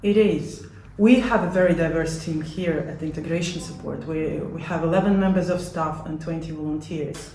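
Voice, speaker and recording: A woman with a Latvian accent giving measured, earnest explanations as an interviewee about her work. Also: low-pitched, steady tone, dark tone, a little hiss or hum. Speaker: community support organisation worker being interviewed. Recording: echoey room.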